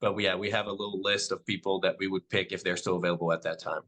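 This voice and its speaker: A lowish, bright-toned man from the Netherlands answers interview questions in calm, measured English with no accent. Dutch is his first language. He pauses often on soft ums. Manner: soft-spoken, measured, with frequent "um". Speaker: padel club owner.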